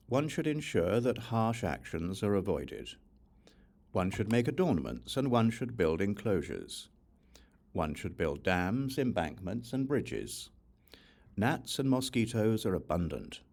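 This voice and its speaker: Male audiobook reader for scripture: low register, highly varied intonation, solemn measured phrasing. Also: darkish tone. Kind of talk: scripted reading of an ancient Buddhist text.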